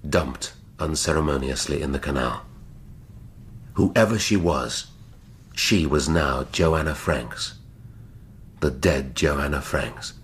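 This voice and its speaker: Middle-aged English detective for radio drama: very deep, measured, brooding, faint background noise. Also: male voice, fairly bright tone, exaggerated voice. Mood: grave, pondering. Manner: measured, deliberate, dry. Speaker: actor.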